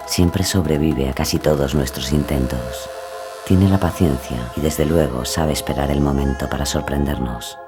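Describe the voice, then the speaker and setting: A man giving slow, dramatic, hushed scripted true-crime narration. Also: very deep voice. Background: music.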